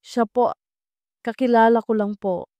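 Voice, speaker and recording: Young female resort employee played by a woman narrator, guarded and polite, audio drama, mellow tone, dry close sound. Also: on the high side, fairly even intonation, unhurried, clear, resonant tone, no echo, lightly acted. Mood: evasive. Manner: hesitant, guarded. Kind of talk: Tagalog audio drama dialogue.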